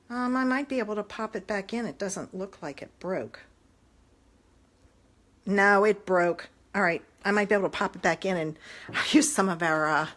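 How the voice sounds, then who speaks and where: Brief: rambling friendly crafter woman in her 50s, a bit hoarse, tablet mic in a home room. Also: medium pitch, a bit bright. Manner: chatty, rambling, talks straight to viewers. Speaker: papercraft demonstrator. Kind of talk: casual live-stream craft chat. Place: home living room, indoors. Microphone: phone or tablet camera at arm's length.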